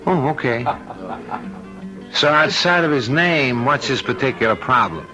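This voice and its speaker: An actor playing a protective, plain-spoken show-business agent, brisk and informal in a radio drama. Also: male voice, mid-range voice, expressive, over music, lightly acted, low-quality recording. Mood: puzzled.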